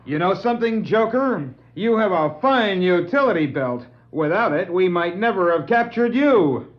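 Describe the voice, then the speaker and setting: An actor playing an eager, wisecracking teenage sidekick in a 1960s superhero record drama. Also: male voice, high-pitched, lively intonation, moderate pace, highs cut off. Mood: cheeky. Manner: exclamatory, wisecracking. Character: eager, cheeky teenage boy sidekick hero. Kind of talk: 1960s radio-play superhero acting.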